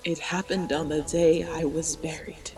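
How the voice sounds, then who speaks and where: A woman voicing an elderly, gentle grandmother pony turned tortoise, reflective, in an audio drama. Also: sits low, flat delivery, a little slow, over loud music. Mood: reflective, awed.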